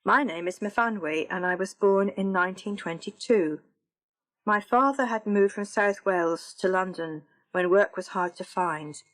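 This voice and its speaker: An elderly woman looking back on her wartime youth, read by a woman in her own voice. She tells her memories in a plain, reminiscing way. Her pitch sits at a middling height for a woman. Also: a little slow, fairly bright tone, natural voice, low-quality recording. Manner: plain reminiscing first-person telling.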